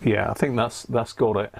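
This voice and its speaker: A British man giving casual, unhurried live commentary as he works at a repair bench, half muttering to himself. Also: mid-range voice, gravelly, darkish tone, slight background noise. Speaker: host of a live radio repair stream. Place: indoor repair workbench.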